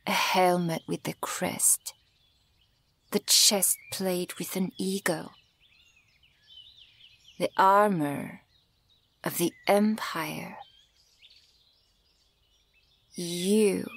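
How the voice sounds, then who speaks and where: A woman voicing a centuries-old elven archer, stern and bitter toward humans, in a breathy, measured, dramatic ASMR roleplay delivery that often drops toward a whisper. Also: on the low side, fairly even intonation, fairly bright tone, heavily acted. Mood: cold, threatening. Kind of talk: ASMR fantasy roleplay audio acting.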